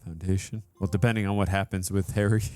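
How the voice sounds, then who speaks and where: A man's voice: deep voice, fairly even intonation, fast, warm tone. A man giving casual reaction commentary as the host of a show. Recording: game music clearly behind voice.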